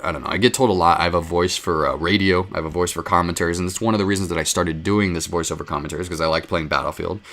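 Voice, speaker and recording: A man's voice: low voice, steady tone, rapid-fire, few pauses, fairly bright tone. A young man rambling casually to his viewers about gaming, with lots of fillers and restarts, into a desk mic. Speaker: gaming video creator. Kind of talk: casual rambling vlog talk.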